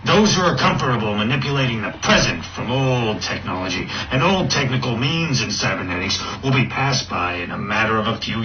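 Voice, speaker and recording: A man's voice: on the low side, background noise. A man playing an intense, pompous symposium coordinator giving a grandiose, declamatory speech in a radio drama. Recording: distant, dull recording under loud music.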